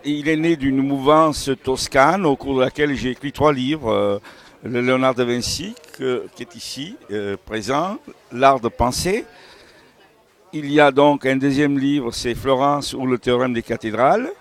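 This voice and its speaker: A male author talks off the cuff about his novels at a medium pitch. He often pauses to search for words and wanders into side thoughts, as someone explaining his work in an interview. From Italian family background. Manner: halting, searching for words, digressive. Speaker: novelist presenting his books. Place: book festival.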